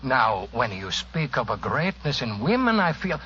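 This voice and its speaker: An actor playing a courtly, effusive Italian police inspector with formal, hesitant phrasing, in 1940s radio drama style. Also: male voice, mid-range voice, big pitch swings, normal speed, pushed and bright. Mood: flustered, polite. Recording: dull, hissy old radio recording.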